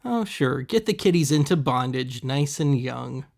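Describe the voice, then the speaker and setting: A man hosting a web series, giving casual, deadpan, wry voice-over commentary on thrift finds. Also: medium pitch, measured pace, vocal fry. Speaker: host of a web video series.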